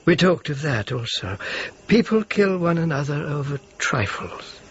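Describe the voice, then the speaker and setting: An actor playing a grave, careful and disappointed friar in a radio drama, speaking with some hesitation. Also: male voice, a little high, sing-song, a little slow. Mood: measured, solemn. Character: grave, solid, disappointed male friar, measured and discreet. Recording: dull lo-fi radio drama, faint noise.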